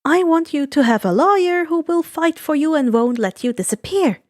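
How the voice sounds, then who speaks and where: A woman's voice: high voice, animated, moderate pace, a little mellow, dry recording, studio quality, voice pushed up. A woman voicing a nervous, caring young male hero in an anime fan story. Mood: nervous, earnest.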